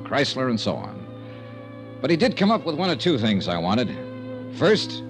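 A man's voice: mid-range voice, natural voice. An American man playing a wry, wisecracking insurance investigator in a 1950s radio detective drama, with a dry, informal delivery. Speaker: lead actor and narrator. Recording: vintage 1950s radio recording.

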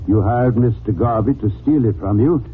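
An actor playing an imperious, unflappable genius detective in a 1940s radio drama, speaking with precise, formal, dismissive command. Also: male voice, medium pitch, normal speed, natural voice. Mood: commanding, accusing. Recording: noisy, tinny 1940s radio recording.

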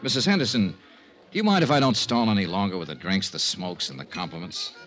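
An American actor playing a blunt, polite freelance insurance investigator in 1950s radio drama, asking direct, measured questions. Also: male voice, low-pitched, expressive, a bit bright. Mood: smooth, direct. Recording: 1950s radio recording with music.

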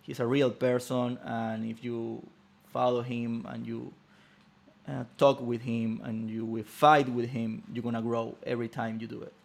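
Spanish-native guy in his 30s, flat gravelly voice, plain short answers. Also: mid-range voice, unhurried. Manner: short agreeing replies, simple phrasing. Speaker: podcast guest. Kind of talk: casual interview chat.

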